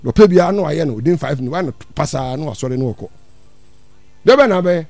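A man's voice: on the high side, lively intonation, brisk, a little mellow, no room sound. An older man with a Ghanaian accent preaching in an emphatic, repetitive, denouncing way into a handheld microphone. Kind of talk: impassioned prophetic sermon in Twi with English phrases.

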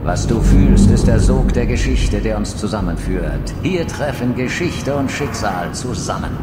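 A man's voice: mid-range voice, heavy background noise, close to an everyday voice. A male actor playing an ancient, fatalistic vampire lord who schemes and speaks solemnly and theatrically in a video game cutscene. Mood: urgent, commanding. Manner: solemn, theatrical, oratorical delivery. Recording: echoey hall reverb, loud music behind.

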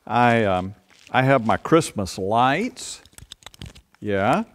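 A man's voice: mid-range voice, animated. A man in his sixties, a pastor, giving a playful children's sermon in a church sanctuary, filmed from a distance. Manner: playful, speaks slowly and gently to children. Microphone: far camera.

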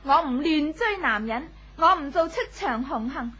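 A haughty young wife in an old radio play, her voice high. She is cool, aloof and cutting, with open scorn in her delivery. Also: lively intonation, moderate pace, sharp tone. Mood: scornful. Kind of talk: 1970s radio drama acting. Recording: old 1970s radio broadcast.

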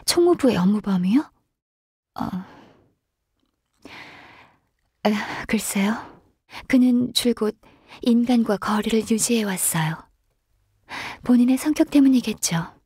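A woman playing a gentle, shy, earnest half-qilin secretary in a video game, speaking softly and politely with some hesitation. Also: on the high side, dry recording, studio quality. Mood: polite, hesitant. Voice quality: soft, breathy. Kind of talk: video game character voice lines.

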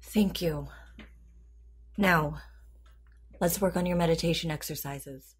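An actress playing a calm, patient therapist in an audio drama, composed and deliberate in her delivery. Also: fairly low pitch, husky. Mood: calm, persuasive. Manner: composed, deliberate, clinical, reassuring. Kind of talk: naturalistic audio drama acting.

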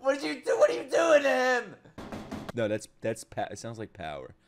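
A young man giving casual, excitable commentary as he reacts to an anime, swearing freely and breaking into shouts and laughter. He talks into a boom-mounted desk mic at home. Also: moderate pace, faint music behind. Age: young adult. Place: home streaming room. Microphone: boom-mounted desk mic, wearing headphones.

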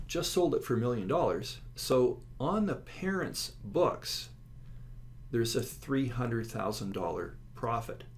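A man giving an instructional lecture, explaining slides step by step and stressing key words. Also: mid-range voice. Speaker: accounting instructor. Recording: clear steady background noise.

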